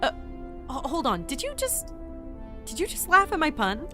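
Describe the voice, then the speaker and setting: Actress as young earnest entity, high voice, expressive, flustered, talkative, audio drama, music behind. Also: female voice, moderate pace, exaggerated voice. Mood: awkward. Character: earnest, anxious, talkative young entity working at secret facility.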